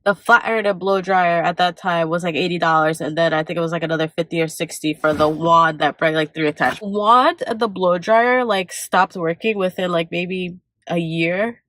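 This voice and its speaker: A young woman with a stuffy, congested voice chats on a vlog from a parked car. She rambles and corrects herself, her voice sitting a little low and close to the mic, with a dry sound and no room around it. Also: moderate pace, few pauses, fairly bright tone, dry recording. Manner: rambling, self-correcting, many fillers. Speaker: vlogger. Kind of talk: casual vlog chat.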